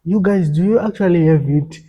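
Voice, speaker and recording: A man's voice: high voice, a little fast, mellow, slightly rough. A young African man talking earnestly in a casual podcast conversation, often repeating and restarting his words.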